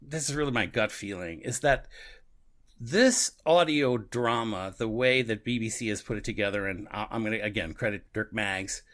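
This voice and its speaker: A man's voice: on the low side, normal speed, a bit bright. A man co-hosting a podcast in casual, reflective conversation, often repeating himself for emphasis. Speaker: podcast co-host. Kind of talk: casual discussion of an audio drama.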